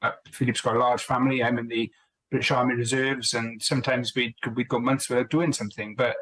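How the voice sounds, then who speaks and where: A man's voice: mid-range voice, level tone, quick pace, a bit bright, rough recording. A man co-authoring a book, chatting casually in an interview and often restarting his sentences. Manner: rambling, restarts sentences, friendly. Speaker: co-author guest.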